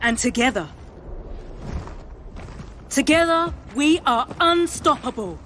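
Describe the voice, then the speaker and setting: An actress playing a stern, rousing military commander of recruits in a video game, measured and solemn. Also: female voice, high-pitched, lively intonation, slight background noise, full character voice. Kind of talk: video game cutscene acting. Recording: loud music over the voice.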